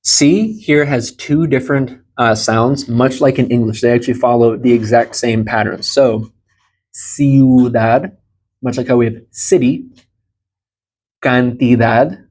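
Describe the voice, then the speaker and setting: An American man in his thirties teaches a small informal language group. His voice sits at a medium pitch. He explains patiently and encourages his learners as he goes. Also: unhurried, darkish tone. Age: 30s. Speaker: Spanish teacher. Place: small indoor room beside a large monitor.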